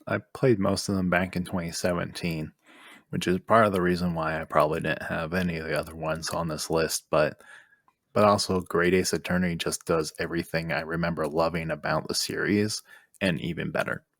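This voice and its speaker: A man's voice: low voice, little pitch movement, fairly quick, gravelly, fairly bright tone, dry recording. A man co-hosting a podcast, chatting casually about favorite games. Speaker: podcast co-host.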